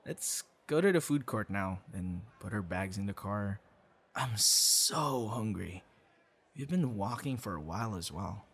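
A man's voice: on the low side, animated, unhurried, a bit bright, husky, full character voice. A man acting a shy, kind young boyfriend in an ASMR roleplay, speaking conversationally with hesitations and stammers. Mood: warm, excited.

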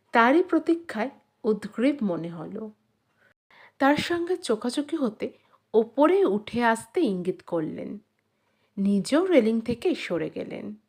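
A woman reading a literary story as an audiobook narrator, in a measured, expressive dramatic style. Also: fairly high pitch.

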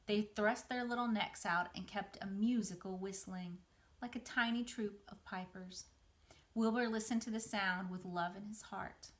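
A woman's voice: mid-range voice, fairly even intonation, moderate pace, a bit bright. A middle-aged woman reads a children's book aloud in a mid-range voice. She narrates as an educator would, from a small room a short distance from the camera. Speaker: museum educator reading aloud. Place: small room, window corner, indoors.

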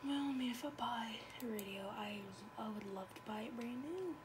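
High-voiced woman rambling casually, noisy recording. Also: moderate pace. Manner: rambling, repeats phrases. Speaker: video blogger. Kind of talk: casual chat while browsing online. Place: indoors at a home computer. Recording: noisy amateur home recording.

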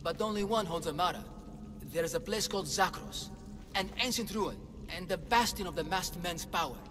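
Theatrical, high-voiced father acted by a man. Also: lightly acted. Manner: theatrical, faltering, florid. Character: anxious, melodramatic father, silver-tongued swindler in a Minotaur costume. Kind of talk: dramatic video game dialogue. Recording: long cavernous reverb, music behind.

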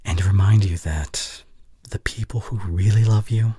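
A young man playing a caring, heartbroken boyfriend in an intimate audio roleplay, speaking haltingly and earnestly, often dropping to near whisper. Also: fairly low pitch, steady tone, mellow, husky, no echo, clean studio sound. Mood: soft, affectionate. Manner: halting, earnest, pausing mid-sentence. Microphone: close studio condenser mic.

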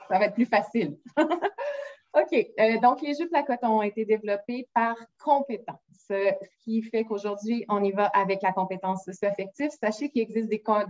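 A woman's voice: mid-range voice, a little mellow. A woman in her forties from Quebec with a Québécois French accent, presenting educational games in a friendly webinar over a video call. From Quebec, Canada. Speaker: game company presenter. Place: room at home with window blinds. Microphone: laptop mic on video call. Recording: muffled video call audio.

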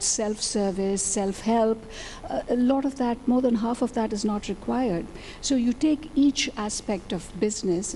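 A woman's voice: medium pitch, warm tone, a little hiss or hum, rough recording. Woman panelist explaining on a conference stage. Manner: fluent, explanatory, gestures with her hand. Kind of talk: panel discussion. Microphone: handheld mic.

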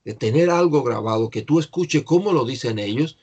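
A man's voice: medium pitch, expressive, brisk, darkish tone, breathy edge. An older man teaching English in an online class, patiently explaining pronunciation to a student over a video call.

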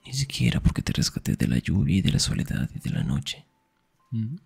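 A young man performing an intimate ASMR roleplay as a teasing, affectionate best friend, murmuring in a breathy, husky whisper. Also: mid-range voice, wildly swooping pitch, a little fast, darkish tone, faint music behind.

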